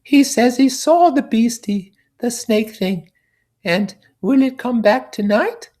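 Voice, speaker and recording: Extremely high little boy voice. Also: expressive, slow pace, a little mellow, full character voice. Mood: anxious, earnest. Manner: childlike, earnest. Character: small frightened little boy's mouthpiece, reporting a beastie.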